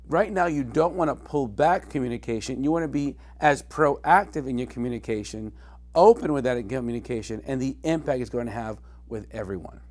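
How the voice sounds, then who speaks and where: A man's voice: medium pitch, darkish tone. Man giving earnest business coaching advice. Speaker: leadership coach and presenter. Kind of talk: direct-to-camera business advice.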